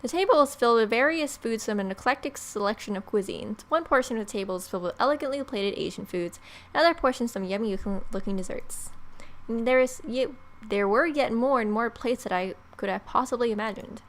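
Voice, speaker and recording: A woman's voice: a little high, brisk. A woman in her twenties reading visual novel text aloud with casual, joking asides, recorded at home. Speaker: gaming video creator. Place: small room at home, indoors.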